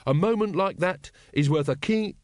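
A man's voice: on the high side, very animated, normal speed, a little mellow, no echo. Man playing an earnest, dry-recorded young watchman. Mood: dreamy. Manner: earnest, polite. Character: earnest, literal, polite young male watchman. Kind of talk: audiobook character voice.

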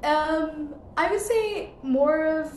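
A woman's voice: sits high, slow pace, a little mellow, echoey room, slight background noise. Young woman from Ethiopia, hesitant. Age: young adult. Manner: hesitant, many fillers, laughs often. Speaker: guest. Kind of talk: casual interview answers.

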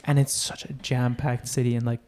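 A man's voice: mid-range voice, flat delivery, brisk, darkish tone. A young man from Israel, chatting casually as a podcast co-host, eager and fond of repeating words for emphasis. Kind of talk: casual chat.

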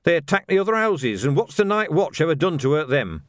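A man's voice: a little high, big pitch swings, a little fast, no room sound, studio quality, pitched above the performer's own voice. A man voicing a hard-headed, defiant city policeman in an audiobook, blunt and argumentative. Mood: scornful, defiant.

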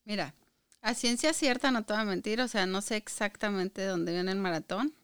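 A woman's voice: medium pitch, normal speed, fairly bright tone, smooth, no echo, studio quality. A woman in her thirties or forties with a northern Mexican Spanish accent, chatting casually as a podcast guest. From Chihuahua, Mexico. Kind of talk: casual conversational interview.

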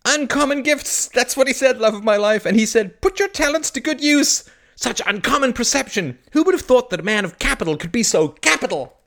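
A man playing a playful, teasing young gentleman with a theatrical, grandiose manner. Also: on the low side, husky. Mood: exuberant. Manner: dramatic, halting stammer and grandiose phrasing.

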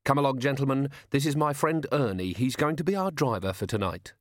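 A man voicing a cheerful, courteous villain in an audiobook, falsely friendly and unhurried in his menace. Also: medium pitch, animated, no echo, clean studio sound, voice pushed up, natural voice. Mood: politely menacing. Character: genteel, softly menacing villain, cheerful and polite. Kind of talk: audiobook character voice.

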